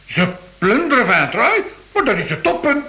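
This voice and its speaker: An actor playing an effusive gentleman connoisseur in a radio play, savouring his words and exclaiming. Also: very high-pitched, big pitch swings, moderate pace, background noise, full character voice. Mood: astonished.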